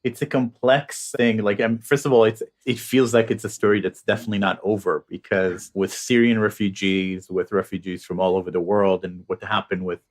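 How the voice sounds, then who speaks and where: A man from Israel with a lowish voice leads a conversational interview. He talks in a thoughtful way, often pausing to find his words before getting to the question. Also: steady tone.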